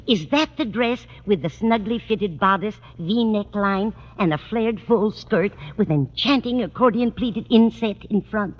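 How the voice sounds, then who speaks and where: An actress playing a fussing, motherly older landlady with a husky voice in an old radio sitcom. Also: mid-range voice, unhurried, few pauses, lightly acted. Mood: fussing, concerned. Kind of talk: 1950s radio sitcom acting. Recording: dull, reverberant old radio recording.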